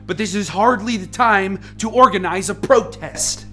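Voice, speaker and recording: A woman's voice: medium pitch, normal speed, bright, forceful tone, soft background music, natural voice. A woman playing a testy, defensive lead scientist in an amateur radio play. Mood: defensive, irritated.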